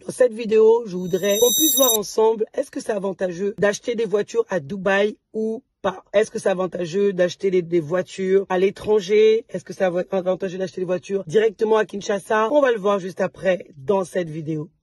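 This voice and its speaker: A woman from DR Congo, an entrepreneur chatting casually to her viewers in a vlog. She is slightly hoarse and often restarts and repeats words. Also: medium pitch, brisk, hardly any pauses, background noise. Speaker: entrepreneur and vlogger.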